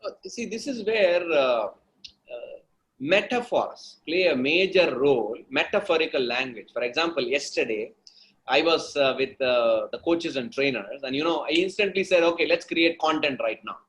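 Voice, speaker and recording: A man's voice: fairly high pitch, lively intonation, moderate pace, fairly bright tone, poor audio. A man with an Indian accent giving conversational answers as an interview guest, teaching emphatically, repeating phrases and checking in with the listener. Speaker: guest speaker, storytelling coach.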